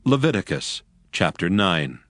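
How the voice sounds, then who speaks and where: Low-voiced male scripture narrator. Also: dry recording, clean studio sound. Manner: steady, reverent, measured. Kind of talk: dramatized Bible narration. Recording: narration over clear music. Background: music.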